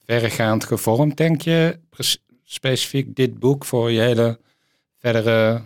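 A man's voice: medium pitch, fairly even intonation, measured pace, no room sound, clean studio sound. A man hosting a conversational interview, thinking aloud as he puts exploratory questions. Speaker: podcast host, interviewer.

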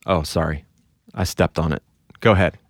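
Low voiced podcast host guy, chatty, dry mic. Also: fairly even intonation, normal speed, no echo. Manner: organizing, chatty. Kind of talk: casual chat.

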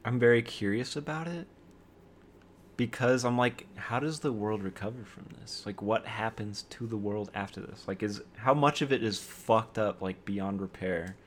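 A man with a fairly low voice musing about films and sci-fi worlds. He talks in an easygoing, curious way, with faint noise behind him. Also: fairly even intonation, moderate pace. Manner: easygoing, curious, says bro. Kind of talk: casual chat.

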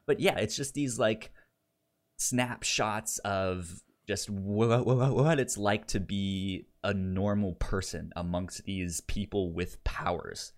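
Low-voiced man with a stutter. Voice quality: stutter, repeating sounds and syllables. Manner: halting delivery with stuck words. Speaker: podcast host. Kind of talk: casual podcast chat.

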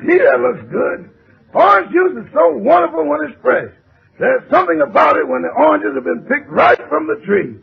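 A man playing a cheerful, wry household servant with a raspy voice in a 1950s radio comedy. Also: very high-pitched, expressive, normal speed, exaggerated voice. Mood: musing. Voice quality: very raspy, gravelly. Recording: dull, lightly noisy old radio recording.

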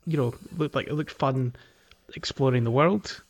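A man co-hosting a podcast in casual chat, speaking in a hesitant, halting way. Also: medium pitch. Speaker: podcast co-host. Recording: dull lo-fi microphone audio.

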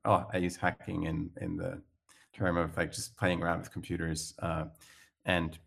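A man's voice: low-pitched, level tone, moderate pace, creaky, a bit bright. A man from Switzerland with a Swiss accent, a startup founder talking as a guest in a casual interview, hesitant with many fillers and restarts.

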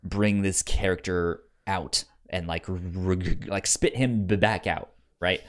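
A man co-hosting a podcast, chatting casually about books in a halting way, often stammering and repeating words. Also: sits low, steady tone. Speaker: podcast co-host. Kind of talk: casual book discussion.

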